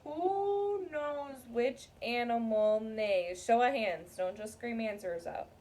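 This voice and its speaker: A woman's voice: on the high side, slow, darkish tone. A woman teacher leading an interactive read-aloud story time for young pupils, often checking in with short questions. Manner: addresses young pupils, frequent checking questions like "okay?" and "right?". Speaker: teacher reading aloud to a class. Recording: distant mic, clear background room noise.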